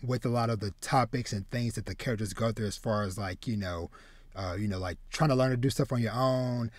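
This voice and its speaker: A man in his thirties chatting casually as a podcast host, haltingly, often repeating words as he goes. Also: lowish voice, no room sound. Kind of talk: casual chat about manga and anime. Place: small home room with bookshelves.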